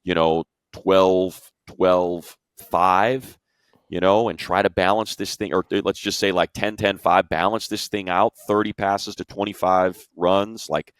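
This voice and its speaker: Very low male voice, American accent, emphatic sports talk, repeats points, dry close sound. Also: steady tone, unhurried, clean tone, no room sound. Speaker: sports show analyst, play-by-play announcer. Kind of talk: casual sports analysis chat.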